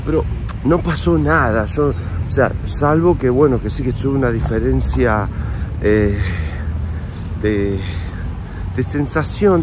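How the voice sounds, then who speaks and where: A man in his forties with an Argentine accent, talking casually and confidingly to his viewers while walking the city streets, filmed on a phone held at arm's length. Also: medium pitch. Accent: Argentine Spanish. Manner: chatty, confiding, talks straight to the viewers. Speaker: vlogger. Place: outdoors on city streets and in a park, then at a café. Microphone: phone camera held at arm's length. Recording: phone audio with clear street noise. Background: street and traffic noise.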